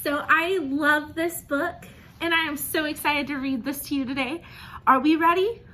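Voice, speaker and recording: A woman's voice: high-pitched, steady tone, normal speed, sharp tone, a little hiss or hum. A woman teaches young children step by step, asking questions and encouraging them as she goes. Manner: encouraging, asks questions and praises listeners as if they answer; simple step-by-step explaining. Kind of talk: interactive children's lesson, talking to camera.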